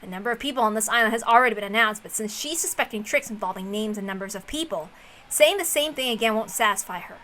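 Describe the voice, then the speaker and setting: A woman plays a calculating sorcerer brooding over a mystery duel in a visual novel. The voice is high and bright, with serious, deliberate, dramatic delivery. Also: a little hiss or hum.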